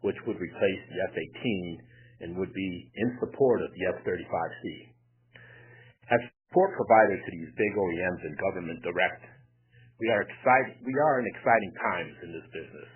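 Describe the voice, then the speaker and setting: A male company executive giving formal, measured prepared remarks on an earnings call. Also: sits low, expressive. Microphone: telephone conference call. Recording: noisy, tinny phone conference line.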